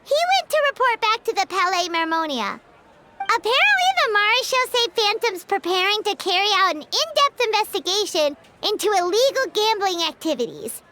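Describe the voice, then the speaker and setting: Squeaky, high-pitched little fairy companion. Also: female voice, sing-song, normal speed, noisy background. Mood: chatty. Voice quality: squeaky, childlike. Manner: bubbly, chatty, exclamatory. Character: tiny cheerful female fairy companion, childlike and excitable. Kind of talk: video game voice acting.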